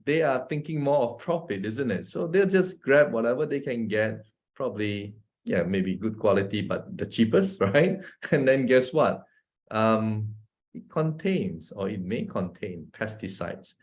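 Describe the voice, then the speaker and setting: A man's voice: fairly low pitch, lively intonation, measured pace. A man, a doctor giving an informal health talk, chatty and constantly asking his listeners "right?" and chuckling between points. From Malaysia. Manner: chatty, often asks the audience "right?" and "guess what?".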